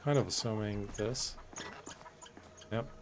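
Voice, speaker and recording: A man's voice: lowish voice, takes it slowly, background noise. A man giving casual, understated let's-play commentary over faint game sounds. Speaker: gaming video host.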